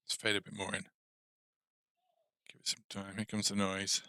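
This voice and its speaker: A man's voice: deep voice, completely flat delivery, moderate pace, pushed and bright, dry recording, clean studio sound. A man casually thinking aloud as he hosts a game coding tutorial livestream. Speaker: game development tutorial host.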